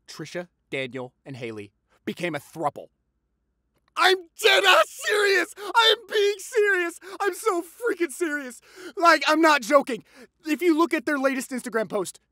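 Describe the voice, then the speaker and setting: Animated, gossipy young boyfriend acted by a man. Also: very high-pitched, dry recording, studio quality, exaggerated voice. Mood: wry, playful, then excited gossip. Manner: theatrical, exaggerated, teasing, chatty. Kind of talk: boyfriend audio roleplay, acted monologue.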